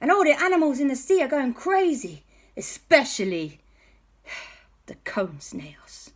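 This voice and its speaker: A woman playing a frantic high pirate cat. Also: sing-song, measured pace. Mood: panicked. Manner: hearty pirate talk, frantic. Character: excitable male cartoon pirate cat.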